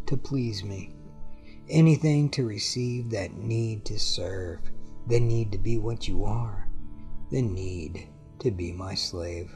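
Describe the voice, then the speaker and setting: A man delivering a slow, commanding hypnotic monologue, repetitive and dropping to a hush at times. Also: fairly low pitch. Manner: commanding, repetitive, hushed in places. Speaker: hypnosis audio speaker. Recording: clear guitar music underneath. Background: guitar music.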